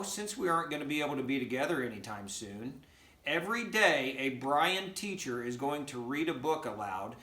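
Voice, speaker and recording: A man's voice: mid-range voice, pushed and bright. A middle-aged man, an educator, reading a picture book aloud expressively and speaking directly to children. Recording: roomy, echoing home recording.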